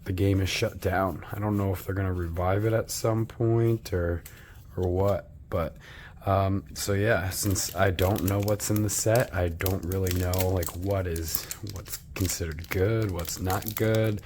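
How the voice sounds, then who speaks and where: A man's voice: low voice, steady tone, unhurried, background noise. A man who is losing his voice, chatting casually while opening trading card packs, rambling with many ums and restarts.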